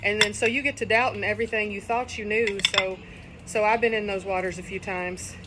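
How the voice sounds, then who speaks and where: A woman's voice: medium pitch, fairly even intonation, a little fast, very noisy. A middle-aged woman, rambling chattily through a casual cooking demo while sitting in a kiddie pool outdoors, recorded on a phone camera. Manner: chatty, rambling, folksy asides. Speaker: cooking vlogger. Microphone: phone camera on a table.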